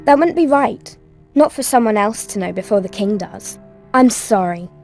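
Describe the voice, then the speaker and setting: A woman voicing a brave, quick-witted, polite young girl in a video game cutscene, speaking earnestly and courteously. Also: medium pitch, expressive, darkish tone, faint music behind, lightly acted. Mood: urgent, insistent.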